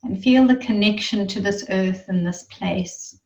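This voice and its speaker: A woman leading a guided meditation in a soothing, reverent manner, leaving long pauses between phrases. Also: mid-range voice, darkish tone. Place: online group gathering. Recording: muffled, roomy, distant online-call sound.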